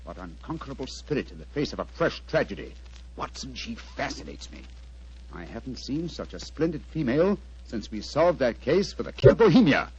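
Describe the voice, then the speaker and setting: An ardent gentleman detective played by a man in a 1940s radio drama. He is theatrical and rapt, with a hugely varied pitch. There is faint hiss on a dull recording. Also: sing-song, a little fast, lightly acted. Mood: enraptured. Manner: theatrical, rapturous. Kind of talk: 1940s radio mystery acting.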